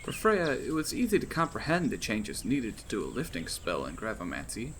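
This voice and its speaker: A man's voice: on the low side, huge pitch swings, a little fast, fairly bright tone, a little hiss or hum. A man reading his own fantasy novel aloud as scripted audiobook narration.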